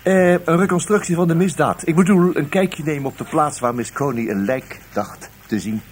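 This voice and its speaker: An actor playing a wry, sarcastic, restless police detective in a radio drama, muttering hesitantly and breaking into exclamations. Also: male voice, fairly high pitch, moderate pace, lightly acted. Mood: probing. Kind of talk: Dutch radio drama acting. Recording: noisy old radio drama recording.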